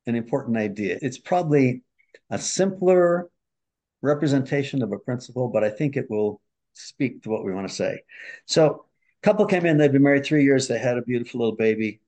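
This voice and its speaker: A middle-aged man speaks as a guest therapist on a podcast, spinning anecdotes with animated delivery. His pitch sits in the ordinary middle range for a man, and he slips into quick impressions of the people he describes. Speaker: marriage and family therapist, guest. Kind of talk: storytelling in a podcast interview.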